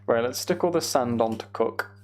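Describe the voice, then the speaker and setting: A man's voice: mid-range voice, normal speed. A man giving casual, rambling gaming commentary to a live chat, with playfully exasperated banter. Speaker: game streamer hosting a live chat stream.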